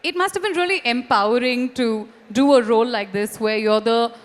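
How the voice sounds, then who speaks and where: High-voiced woman hosting in a hall. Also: moderate pace. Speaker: event host, interviewer. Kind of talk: lively promotional interview hosting. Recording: reverberant hall sound.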